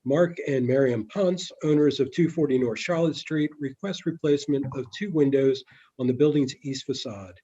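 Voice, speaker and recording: Muffled lo-fi audio, man, husky mellow voice, council clerk, formal reading. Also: mid-range voice, normal speed. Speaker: clerk taking roll call and reading legislation. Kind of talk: formal reading and roll call. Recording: dull, muffled lo-fi meeting audio.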